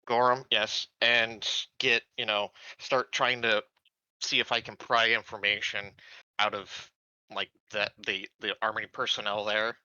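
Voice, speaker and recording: A man playing a tabletop roleplaying game, talking casually in a halting, deliberate way. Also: fairly low pitch, flat delivery, pushed and bright, smooth, no room sound, muffled recording.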